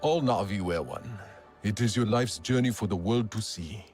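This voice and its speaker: An actor playing a battle-hardened, proud alien clan warrior in a game cutscene, husky and grave, with formal phrasing. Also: male voice, lowish voice, normal speed, a little mellow, faint music behind, lightly acted. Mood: solemn, encouraging. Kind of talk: dramatic game cutscene acting.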